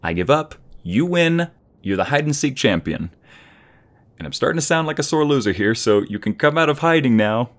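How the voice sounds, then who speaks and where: A man playing a playful, doting young husband in an ASMR roleplay, with a breathy voice that often slips toward a whisper and a teasing, affectionate delivery. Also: medium pitch, lively intonation, lightly acted. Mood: mock defeat. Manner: teasing, affectionate, drawn-out delivery with pet names.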